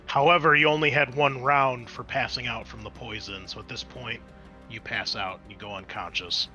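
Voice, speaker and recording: A man acts as game master for a tabletop session, talking informally and getting excitable as he calls out the action. His voice sits at a middle pitch with a bright, forward edge. Soft music plays behind him. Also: normal speed, highs cut off. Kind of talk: narrating and refereeing a tabletop roleplaying game.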